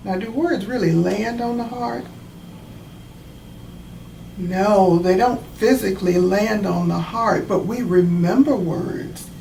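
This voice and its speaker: A woman reads a children's story at a very unhurried pace, stopping to explain like a teacher. Her voice is on the low side with some creak. She sits away from the mic, with faint noise behind her. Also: warm tone. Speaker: author reading her own children's book. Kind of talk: children's storybook read-aloud with explanatory asides. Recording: roomy, distant mic, faint background noise.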